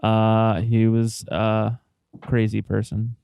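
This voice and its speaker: A man co-hosts a loose podcast chat in a smooth, resonant voice. He talks in an offhand, wry way and swears freely. The sound is close and dry. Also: on the low side, monotone, slow pace, mellow, dry recording. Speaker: podcast co-host.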